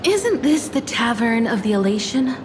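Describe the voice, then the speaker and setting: A woman's voice: on the high side, sing-song, normal speed, noisy background. A woman voicing a teasing young trickster in a video game, with a playful drawl. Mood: amused.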